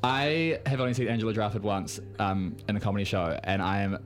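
A man's voice: fairly low pitch, a little fast, faint music behind. A man from New Zealand, a guest reviewer in a radio studio, chatting enthusiastically with lots of filler words. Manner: enthusiastic and rambling, many "like" fillers. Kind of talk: casual radio chat.

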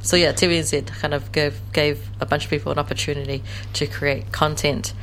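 A young New woman with a notably low voice talks as an interview guest. She is lively and laughs often, with lots of "like" fillers. A faint hum sits under the recording. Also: a little fast, low-quality recording. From New Zealand. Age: late 20s. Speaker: guest, co-creator of a series. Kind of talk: casual interview chat.

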